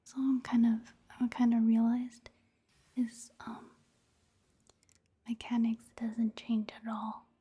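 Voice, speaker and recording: A woman speaking in a soft, breathy near-whisper, giving gentle, rambling ASMR commentary on a video game. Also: on the high side, flat delivery. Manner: unhurried, rambling, gentle murmur. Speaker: ASMR gaming video host.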